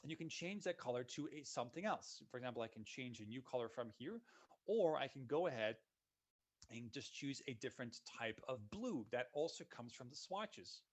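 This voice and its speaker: A man, a solutions consultant giving a relaxed, conversational walkthrough of software in a live webinar. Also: mid-range voice, normal speed. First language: Dutch. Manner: relaxed, conversational walkthrough narrating each click.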